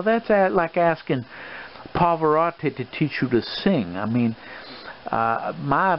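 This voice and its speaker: Old gentleman colonel played by a man, swashbuckling gambler for ASMR role-play: boastful, paternal, hesitant folksy rambling, dull lo-fi audio with plain background noise. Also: medium pitch. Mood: fatherly, cautioning. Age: older.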